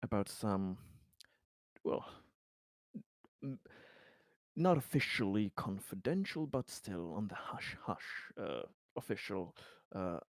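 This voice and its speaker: A man playing a cautious corporate executive in a tabletop roleplaying game, speaking in a halting, wordy and formally polite way. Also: on the low side, very animated, no echo, studio quality, exaggerated voice. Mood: measured, diplomatic.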